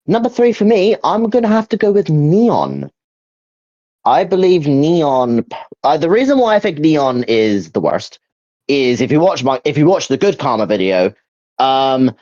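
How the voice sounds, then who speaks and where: A man co-hosting a gaming discussion, giving casual opinions in a halting way as he searches for words. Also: medium pitch, a little mellow, dry recording, lo-fi sound.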